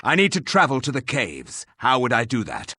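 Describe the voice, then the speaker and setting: An actor playing a proud, grim resurrected warrior in a fantasy game, speaking formally and curtly with a sardonic edge. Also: male voice, medium pitch, huge pitch swings, normal speed, bright, forceful tone, slightly rough, no room sound, heavily acted.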